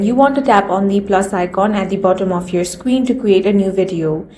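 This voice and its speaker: A woman narrates a how-to tutorial in a soft-edged, mellow voice. Her delivery is plain and instructional, moving step by step at a mid-range pitch. There is some faint noise behind her.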